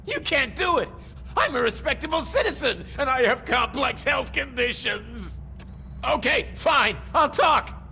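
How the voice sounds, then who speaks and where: An actor playing a slippery, cowardly drug distributor in a video game, wheedling, evasive and theatrical. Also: male voice, very high voice, expressive, measured pace, gravelly, pushed and bright, soft background music, heavily acted. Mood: panicked.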